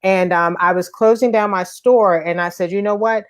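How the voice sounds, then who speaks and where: Lively woman coach who laughs often. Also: mid-range voice, moderate pace. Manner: lively, laughing, acting out voices of customers. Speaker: coach and host. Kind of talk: casual live-stream chat.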